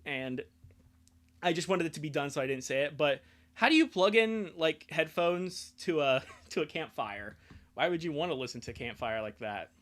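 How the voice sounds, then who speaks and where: Streamer person just chatting, rambly n self-deprecating, pitch goes all over the place. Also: fairly bright tone. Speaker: livestreamer. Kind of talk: casual rambling livestream chat.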